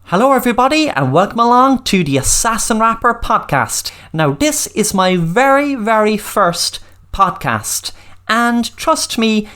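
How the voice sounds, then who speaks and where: Irish woman with lively, swooping intonation. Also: medium pitch. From Ireland. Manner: emphatic, repeats intensifiers. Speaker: podcast host. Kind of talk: solo podcast monologue.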